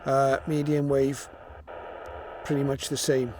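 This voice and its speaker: A man's voice: mid-range voice, level tone, slow, noisy background. A man giving an informal, matter-of-fact product demonstration, explaining things as he goes. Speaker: presenter of a radio receiver review.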